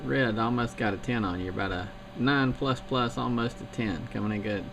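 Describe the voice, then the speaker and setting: A man's voice: mid-range voice, moderate pace, gravelly. A man from the South Carolina coast chatting on a CB radio, talking about his gear in a matter-of-fact, technical way. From Charleston, South Carolina area. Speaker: CB radio operator. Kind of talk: CB radio chat. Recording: radio link with loud static.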